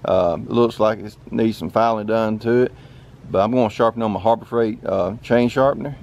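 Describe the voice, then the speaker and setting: A man's voice: lowish voice, fairly quick, gravelly, a little mellow, muffled recording. A man in his thirties with a Southern US accent, hosting a casual outdoor vlog in a folksy, easygoing way with plenty of fillers. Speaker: channel host. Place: outdoors in a wooded yard.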